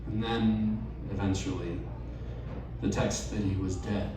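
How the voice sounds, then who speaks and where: A man reading a personal essay aloud at a live storytelling night, measured and wry. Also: fairly low pitch, steady tone, recorded from a distance, noisy background. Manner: slow, measured delivery with wry asides. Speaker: reader at a live storytelling event. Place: bar or event venue, live audience. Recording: very echoey room, voice off-mic, faint ambience.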